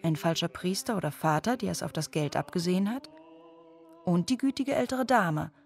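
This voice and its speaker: A woman's voice: on the low side, normal speed, vocal fry, darkish tone. A woman reading scripted, essayistic radio narration. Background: music.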